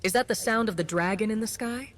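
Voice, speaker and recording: A woman's voice: medium pitch, fairly quick, lightly acted. A woman playing an impatient, questioning adventuring companion in a fantasy game. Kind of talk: fantasy game character dialogue. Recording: clear background noise.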